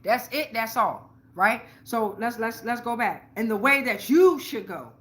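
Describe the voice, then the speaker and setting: High, bright-voiced woman, blunt and slangy. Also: expressive, a little slow. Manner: blunt, heavy swearing, slangy, repeats phrases for emphasis. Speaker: tarot reader, show host. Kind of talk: casual spiritual tarot reading to followers.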